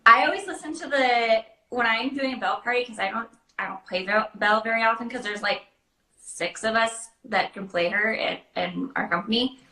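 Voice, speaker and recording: A woman's voice: on the high side, brisk, pushed and bright. A young adult woman chatting casually as a host and answering questions. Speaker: host, princess party performer. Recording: roomy, echoing sound.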